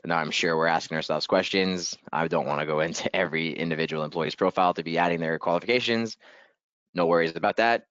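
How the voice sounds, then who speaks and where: A man leading a software training webinar in a casual, upbeat way, a little hoarse and clearing his throat. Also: low-pitched, no echo, highs cut off. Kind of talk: webinar screen-share walkthrough.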